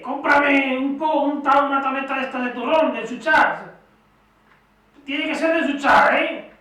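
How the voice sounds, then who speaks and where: A man's voice: very high voice, steady tone, a little slow, bright, forceful tone, exaggerated voice. A man with a Spain accent playing a grumbling, bossy person at home in an amateur comedy sketch. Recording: echoey room, far from mic, faint noise.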